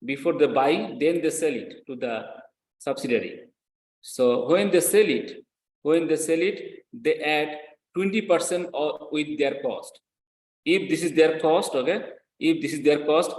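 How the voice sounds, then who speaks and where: A man's voice: a little high, animated, a little slow. A man lecturing in accounting step by step as a non-native English speaker, repeating phrases and often checking that students follow. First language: not English. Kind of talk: classroom lecture, explaining step by step. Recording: echoey room sound.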